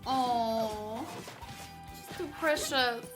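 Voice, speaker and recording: Chatty high-voiced young woman streamer. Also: very slow, over music. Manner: chatty, rambling, with playful exclamations. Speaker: gaming streamer. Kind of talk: casual livestream chat and reaction.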